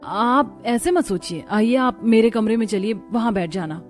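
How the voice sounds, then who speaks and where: A woman's voice: fairly high pitch, moderate pace, soft background music, natural voice. A woman voicing a meek, dutiful young daughter-in-law in a cartoon story. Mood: meek, polite.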